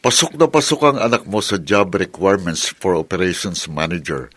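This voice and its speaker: Man acting low-voiced, affable Filipino executive godfather. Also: sing-song, normal speed, a bit bright, full character voice. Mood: approving. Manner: easygoing, mixes in English phrases. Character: affable, trusting older company executive, the godfather. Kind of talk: scripted Tagalog video drama acting.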